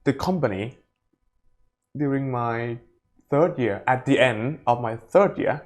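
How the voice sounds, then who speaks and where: A man's voice: medium pitch, takes it slowly. Young Thai-accented man in an interview. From Thailand. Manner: simple, non-native English phrasing. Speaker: interview guest, startup co-founder. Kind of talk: casual interview talk.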